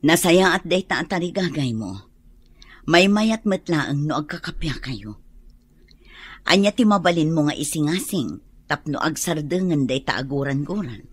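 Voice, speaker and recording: Caring radio drama lady: very low, husky, animated delivery. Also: moderate pace. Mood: kindly, probing.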